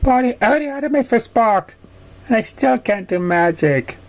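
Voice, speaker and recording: A man's voice: very high-pitched, lively intonation, normal speed, pitched above the performer's own voice. A man voicing a hurt, childlike little girl pony in a plaintive story voice. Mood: sad, worried.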